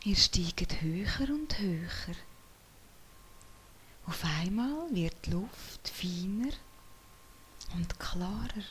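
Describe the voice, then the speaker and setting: Meditation guide, woman, soft whisper, unhurried and soothing, faint hiss. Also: lowish voice, expressive. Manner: slow, soothing delivery.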